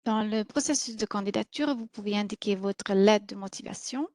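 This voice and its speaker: A woman co-presenting a webinar, explaining the application steps in a measured way with some fillers. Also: medium pitch, darkish tone, no echo, clean studio sound. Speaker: presenter, co-host of the session.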